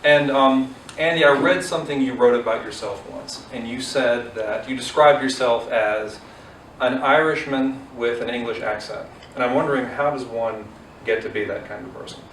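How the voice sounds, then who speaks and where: A man's voice: medium pitch, normal speed, fairly bright tone. A man hosting a casual interview as a church pastor, asking questions in a conversational way. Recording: echoey, distant mic, faint noise.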